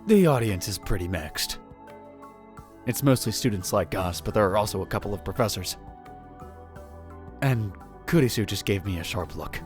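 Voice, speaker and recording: A man's voice: fairly low pitch, expressive, moderate pace, pauses often, faint music behind, lightly acted. A man playing an arrogant, theatrical male college student who narrates a visual novel fan dub in a pompous way. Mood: wry narrating. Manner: pompous, dramatic delivery with exclamations.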